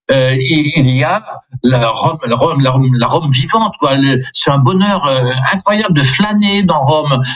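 An older man from Alsace, a priest, talks freely in a radio interview, stopping on fillers and apologizing with a small laugh. His voice is husky, and the sound is a little muffled and lo-fi. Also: medium pitch. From Alsace, France. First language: Alsatian. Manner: chatty, hesitant with many fillers, self-deprecating.

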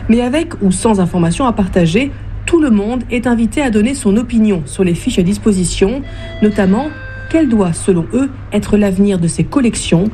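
A woman reporter reading a scripted news report in a measured, even way. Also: mid-range voice, soft background music.

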